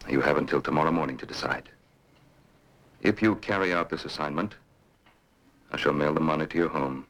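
An actor playing a cold, scheming male employer in an old radio drama, speaking slowly and persuasively. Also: rumbling low, a bit bright. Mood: cold, menacing. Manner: slow, measured, coldly persuasive. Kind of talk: 1950s radio drama acting.

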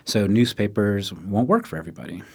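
Man, lower voice, casual explainer, music historian, animated asides. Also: a little fast, vocal fry, breathy edge. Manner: animated, acts out quotes in raised voice, frequent "right?". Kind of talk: conversational explanation.

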